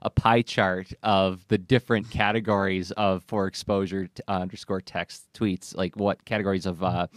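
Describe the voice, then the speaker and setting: A man in his forties hosting a casual talk show, speaking in an animated, emphatic way. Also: low-pitched, normal speed, hardly any pauses, no room sound, clean studio sound. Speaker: podcast host. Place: studio with a dark backdrop. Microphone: close broadcast mic on boom arm, headset.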